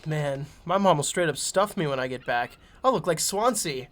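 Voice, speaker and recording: A man's voice: a little high, expressive, normal speed, a bit bright. Man playing an upbeat, slangy young spaceship crewman. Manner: breezy, slangy, eager. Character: laid-back, boastful, upbeat young male spaceship crewman. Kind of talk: video game fan dub acting.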